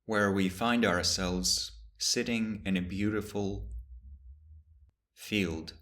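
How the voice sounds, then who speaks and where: Man, very deep voice, guided meditation teacher, slow calm phrasing, long pauses.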